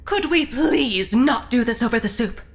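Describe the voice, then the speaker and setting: Woman playing a high, fretful dinner guest. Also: animated, normal speed. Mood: fretful, exasperated. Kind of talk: audio drama acting.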